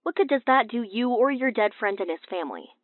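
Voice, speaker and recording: A woman's voice: a little high, expressive, a little fast, dry recording, voice pushed up. A woman voicing a stern, exasperated male police detective in an audiobook, blunt and pressing, through a phone filter. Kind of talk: audiobook character dialogue. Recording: tinny band-limited phone-call effect.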